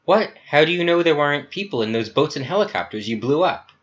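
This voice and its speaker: A man's voice: on the high side, expressive, a little fast, a bit bright, highs cut off, pitched above the performer's own voice. A man voicing an indignant, anxious artificial human crew member, speaking in a challenging, agitated way. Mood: angry, anxious.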